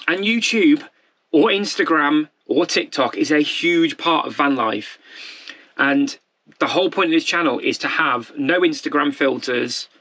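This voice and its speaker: Man, 40s, candid, rambling, self-deprecating, slightly raised pitch. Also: unhurried, bright, forceful tone. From United Kingdom. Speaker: vlogger. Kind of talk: off-the-cuff monologue to camera.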